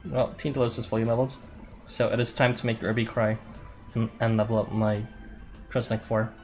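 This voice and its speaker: Clear music plays behind a man streaming a game. He talks casually and offhandedly at a middling pitch, chatting through his moves as he goes, with the odd mild swear. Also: little pitch movement, fairly quick. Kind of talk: casual live gameplay commentary. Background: background music.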